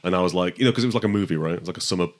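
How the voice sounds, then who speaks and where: Fast-talking deep monotone man. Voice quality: naturally monotone voice. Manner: rambling, self-deprecating, many fillers and restarts. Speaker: podcast guest, comics letterer and editor. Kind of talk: casual conversation. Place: home studio.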